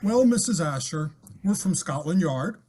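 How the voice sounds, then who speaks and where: A man acts out a police detective in a radio play. His voice is fairly high for a man and businesslike in delivery. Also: expressive, moderate pace, a little mellow. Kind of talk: old-time radio drama acting.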